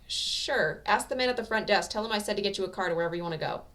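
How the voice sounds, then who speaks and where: A woman's voice: medium pitch, very fast, fairly bright tone, far from the mic, natural voice. Woman reading as a terse male teacher hero. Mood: matter-of-fact. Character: Aizawa, terse, world-weary adult male teacher hero.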